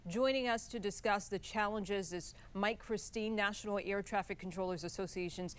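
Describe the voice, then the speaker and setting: A woman's voice: mid-range voice, normal speed, clean tone. An American woman working as a TV news anchor, reading introductions and putting questions in a broadcast interview style.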